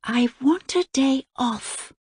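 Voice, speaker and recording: An earnest little princess, played by a woman reading a storybook, with a high, plaintive girlish voice. The voice is breathy and husky. The recording is dry and close-miked. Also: expressive, slow, a little mellow, no echo, heavily acted. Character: unhappy young princess, earnest girl.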